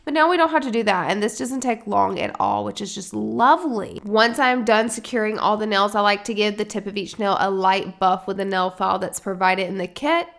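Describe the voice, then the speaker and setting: Stuffy, slightly raspy woman vlogger. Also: mid-range voice, a bit bright. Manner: upbeat, chatty, talks straight to viewers. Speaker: beauty vlogger. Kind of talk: sponsored tutorial vlog.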